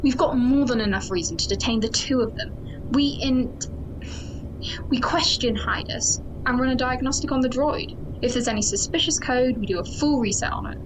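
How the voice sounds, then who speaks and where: A woman's voice: on the high side, normal speed, background noise, natural voice. A woman playing a hard-line, suspicious military security chief in an audio drama, speaking in a clipped, formal way. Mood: insistent, suspicious.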